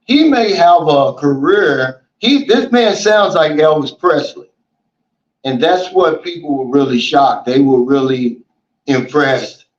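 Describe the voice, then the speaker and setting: Easygoing male livestream host with a slightly high voice, chatty and folksy; roomy, dull lo-fi sound. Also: slow pace. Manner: relaxed, folksy, often says "man" and "you know". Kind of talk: casual livestream chat, reading viewer comments.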